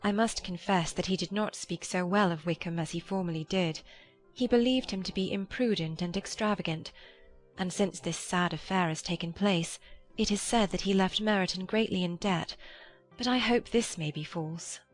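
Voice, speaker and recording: A woman playing a gentle, forgiving elder sister, measured and mild, in a dramatized Regency audiobook. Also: fairly low pitch, faint music behind, natural voice. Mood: troubled, gentle.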